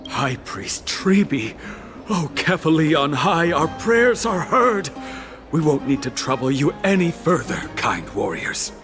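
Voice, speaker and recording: A man's voice: fairly high pitch, sing-song, a little slow. A man playing a grateful temple devotee in a fantasy video game, solemn and declamatory, as if proclaiming. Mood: reverent, grateful. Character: reverent, grateful male temple devotee of a fantasy holy city. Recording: music behind the voice.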